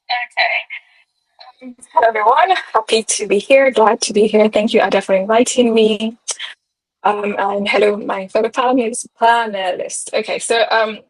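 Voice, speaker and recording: A woman's voice: a little high, steady tone, normal speed, breathy edge, dry recording, rough recording. A young woman from Lagos, a panel guest telling her school story in a chatty, easy way. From Nigeria (Lagos). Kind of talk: casual storytelling.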